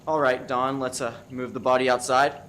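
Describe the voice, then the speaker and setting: A mid-pitched, self-important amateur sleuth played by a man in a farcical radio play. He is measured and mock-serious, with faint noise behind him. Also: flat delivery, close to an everyday voice. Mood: matter-of-fact. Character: hapless, self-important amateur murder sleuth named Bill. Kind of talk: farce radio play acting.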